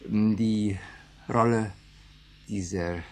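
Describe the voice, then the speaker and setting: A man's voice: lowish voice, steady tone, extremely slow, slight background noise. A man reading a scripted radio announcement as the station announcer.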